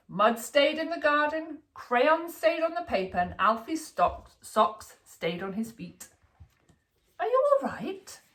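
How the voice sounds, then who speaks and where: Bright, animated woman reading a storybook. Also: on the high side. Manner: animated, deliberate storytelling for young children. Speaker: storybook reader, school staff. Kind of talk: expressive children's picture book narration.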